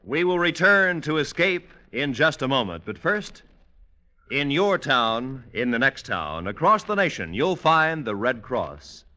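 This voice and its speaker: A man's voice: mid-range voice, sing-song, measured pace, bright, forceful tone. A man with an American accent announcing in a solemn, declamatory old-time radio style. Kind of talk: 1940s-50s radio announcing.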